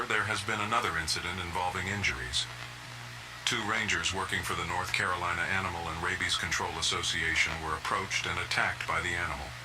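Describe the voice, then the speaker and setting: A man's voice: low voice, background noise, close to an everyday voice. A man playing a grave official, giving a scripted statement in a serious way. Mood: serious, reporting. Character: grave male official tracking a dangerous animal.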